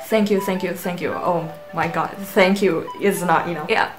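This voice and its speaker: A woman's voice: on the low side, steady tone, moderate pace. Chatty young Indonesian woman vlogging. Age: young adult. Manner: chatty, rambling, self-deprecating. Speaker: channel host. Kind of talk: casual vlog talk to camera. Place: small bedroom, indoors. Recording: roomy echo with music behind.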